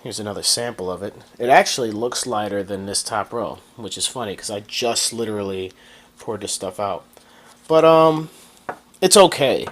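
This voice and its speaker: A man's voice: on the low side, moderate pace. Stuffy-nosed man giving a casual review. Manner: relaxed and chatty, with frequent ums and restarts. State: stuffed up from a cold. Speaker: video maker reviewing products.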